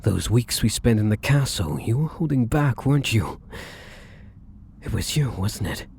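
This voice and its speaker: A man playing a defiant, protective vampire in an ASMR roleplay, with husky, breathy delivery and theatrical, formal phrasing. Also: lowish voice, animated, a little slow, darkish tone, a little hiss or hum. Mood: bitter accusation. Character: defiant, protective young male vampire, bitter and menacing. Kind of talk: ASMR audio roleplay acting.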